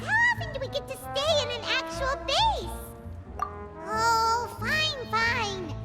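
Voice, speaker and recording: Small fairy companion, very high squeaky childlike voice, excitable, lively intonation, video game, prominent music. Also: female voice, full character voice. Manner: refers to self in third person, expressive. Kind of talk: cartoonish video game voice acting.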